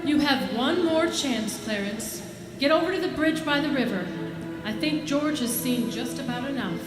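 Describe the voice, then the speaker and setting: A woman's voice: high voice, expressive, normal speed. A woman in a live 1940s-style radio play, playing a stern senior angel, firm, reproving and matter-of-fact. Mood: brisk, commanding. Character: stern, brisk female senior angel, scolding and authoritative. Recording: roomy stage with music behind.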